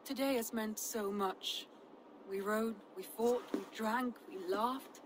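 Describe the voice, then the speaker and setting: An actress playing an earnest, duty-bound Norse noblewoman in a dramatic game cutscene, speaking in a heartfelt, measured way. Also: on the high side, fairly even intonation, background noise. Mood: tender.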